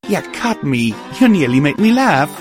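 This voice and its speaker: A man's voice: fairly high pitch, very animated, normal speed, faint music behind, pitched above the performer's own voice. A man voicing a playful, touchy female unicorn heroine with a mock-dignified manner, in an audiobook. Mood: gleeful.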